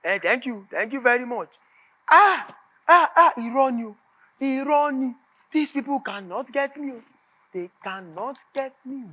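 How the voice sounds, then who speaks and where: An anxious Nigerian man played by a stage actor, halting and repeating himself as he pleads. His voice is very high and sharp, on a slightly muffled recording. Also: animated, heavily acted. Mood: alarmed, defiant. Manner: halting, repetitive. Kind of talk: stage play acting. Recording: dull lo-fi recording.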